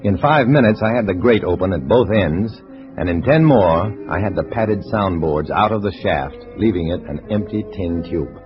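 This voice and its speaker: A low-voiced, wry secret agent tells his story in clipped, dry style. It is old-time radio drama, with music behind and a dull, band-limited sound. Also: animated, moderate pace. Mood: dry, tense. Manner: terse, dry, hard-boiled. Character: cool, wry undercover agent posing as a Communist, narrating. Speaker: narrator and lead actor. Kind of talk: 1950s radio drama acting, scripted narration.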